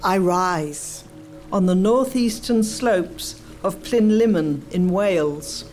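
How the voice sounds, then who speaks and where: A woman's voice: lowish voice, expressive, slow pace, a little mellow, soft background music. A woman acting in a radio play as a practical, community-minded townswoman. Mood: poetic. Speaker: community theatre actor.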